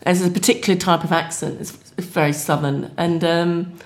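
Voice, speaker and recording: Fast-talking British woman comedian, low voice. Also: steady tone. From Britain. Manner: fast-talking, quick laughs. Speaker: stand-up comedian, podcast guest. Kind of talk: casual interview chat.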